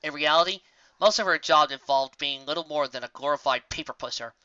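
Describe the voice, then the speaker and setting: A storyteller reads scripted fiction with theatrical expression. The voice is bright and mid-pitched. It sits in a very dry recording that sounds a little dull. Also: a little fast, no echo. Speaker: audiobook reader. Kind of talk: scripted narration of fan fiction story, dramatic.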